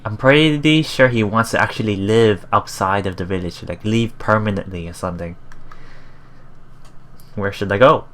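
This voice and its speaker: A let's play host reading a visual novel aloud in a halting way, with casual, musing commentary. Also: low voice, fairly bright tone. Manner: halting, musing, repeats phrases.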